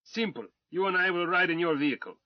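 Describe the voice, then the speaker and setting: A man's voice: high-pitched, expressive, quick pace, dry recording, pitched above the performer's own voice. A man voicing an arrogant, blustering older colonel, speaking in a pompous, commanding way. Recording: dull, dry sound.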